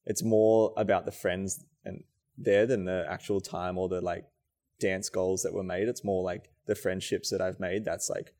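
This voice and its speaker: A man's voice: low-pitched, little pitch movement, normal speed, mellow. A young man, a choreographer and dancer, chatting casually and reflectively in an interview, with many fillers. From Australia.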